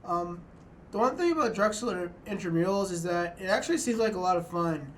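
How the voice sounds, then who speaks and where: A young man, a student, talking to the camera in a small room about his documentary, halting and restarting often. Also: high voice, expressive, heavy background noise. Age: young adult. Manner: halting, many restarts and fillers. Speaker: student filmmaker. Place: small dorm room indoors.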